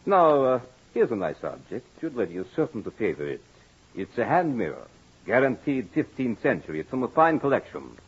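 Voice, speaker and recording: An actor playing a sly, miserly old antique dealer, curt and sardonic, in 1940s radio horror style. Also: male voice, medium pitch, very animated, a little fast, slightly rough. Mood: sly, needling. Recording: muffled noisy old radio recording.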